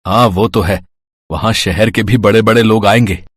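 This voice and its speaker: Man acting an excited village husband. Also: lowish voice, moderate pace, husky. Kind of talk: acted dialogue in a children's moral story.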